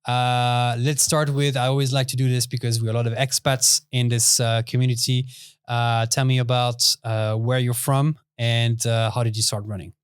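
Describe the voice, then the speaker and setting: A young man hosting a casual podcast interview in a small studio, relaxed and chatty with lots of fillers. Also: mid-range voice, normal speed, clean tone, no echo. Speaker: podcast host.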